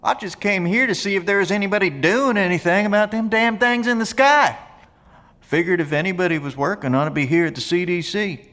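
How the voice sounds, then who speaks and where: An actor playing a blunt, friendly survivalist in an audio drama, with a folksy, gravelly drawl. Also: male voice, sits high, brisk, a bit bright. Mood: folksy, matter-of-fact. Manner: folksy, colloquial, plain-spoken. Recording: long echoey reverb of an empty hall.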